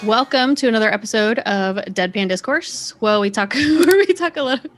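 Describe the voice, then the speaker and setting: A woman's voice: on the high side, lively intonation, normal speed, over loud music. A woman hosting a casual panel chat in a conversational way. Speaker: host of a discussion show.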